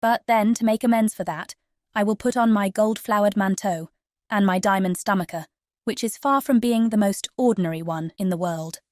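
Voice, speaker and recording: A woman's voice: mid-range voice, normal speed, a little mellow, dry recording, studio quality, voice pushed up, lightly acted. Woman putting on a vain, affected British young stepsister. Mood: vain, boastful. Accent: British English. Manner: preening, affected. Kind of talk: storybook audiobook acting.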